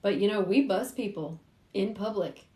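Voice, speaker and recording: A woman's voice: medium pitch, moderate pace, mellow. Echoey woman hosting a casual livestream chat. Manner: informal, chatty, addresses viewers directly. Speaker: host, private investigator. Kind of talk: casual livestream chat, answering viewer questions. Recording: roomy echo.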